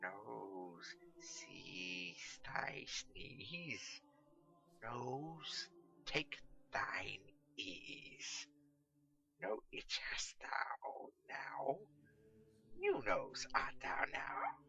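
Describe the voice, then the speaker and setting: A man performs a cantankerous old wizard from a storybook, crafty and theatrical. He draws out archaic words in a piercing, gravelly voice that swings widely in pitch. The recording is dull and lo-fi. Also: huge pitch swings, bright, forceful tone, slight background noise, exaggerated voice. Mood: hypnotic, incanting. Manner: slow, drawn-out incantations and theatrical archaic curses.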